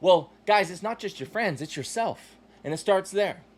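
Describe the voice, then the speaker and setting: A man in his forties hosting his own podcast, giving a blunt, profane motivational monologue that swings between loud emphatic bursts and low asides. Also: animated, moderate pace. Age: 40s. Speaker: podcast host.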